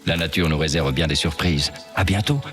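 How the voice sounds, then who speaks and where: A man's voice: very deep voice, brisk. A man narrating a scripted nature segment for children as a documentary narrator. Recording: loud music behind the voice.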